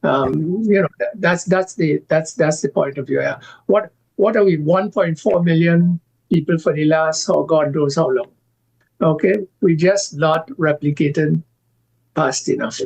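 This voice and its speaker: Halting older Trinidadian man, highish voice. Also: gravelly, darkish tone, slightly rough, rough recording. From Trinidad and Tobago. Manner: halting, many fillers and restarts, emphatic. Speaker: guest policy analyst. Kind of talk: current affairs discussion.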